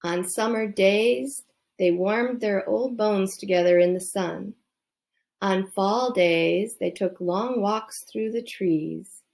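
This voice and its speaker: A woman reads a children's bedtime story aloud at a very unhurried pace. Her mid-pitched voice has a strong creak to it. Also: darkish tone. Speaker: storybook reader.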